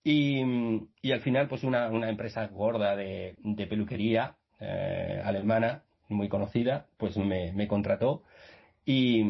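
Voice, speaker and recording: A man's voice: mid-range voice, vocal fry, husky. A man, a radio guest telling his story in a rambling, casual way with many restarts. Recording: muffled video-call audio.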